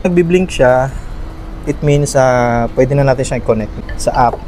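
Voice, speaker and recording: A man's voice: mid-range voice, moderate pace, a little mellow. Filipino man demonstrating gear over background noise. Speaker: vlogger, product reviewer. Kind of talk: casual unboxing and demo talk to viewers.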